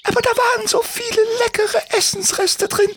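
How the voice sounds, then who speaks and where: A man voicing a small, sick female thrush in a children's story, with a high, weak piping voice that whimpers and complains. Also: fairly even intonation, moderate pace, a bit bright, slight background noise. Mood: plaintive. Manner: whimpering, plaintive. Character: tiny sick female thrush bird, meek.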